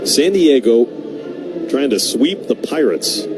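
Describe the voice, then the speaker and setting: An American man calling live baseball play-by-play on the radio and reading sponsor spots between pitches. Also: very animated, slow, over loud music. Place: ballpark broadcast booth. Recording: noisy live ballpark radio broadcast.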